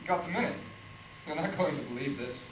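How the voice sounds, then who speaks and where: An actor playing a dry, sarcastic archbishop ghost, in a stage play recorded in an echoey room from a distance. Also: male voice, a little high, expressive, brisk, slight background noise, lightly acted. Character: dry, sardonic English archbishop ghost, man.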